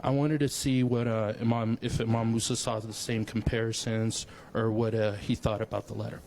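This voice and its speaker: A man's voice: fairly low pitch, flat delivery, moderate pace, creaky, a little mellow, slight background noise. A young man from Dallas, Texas, in the studio audience, politely asking a question with some hesitation.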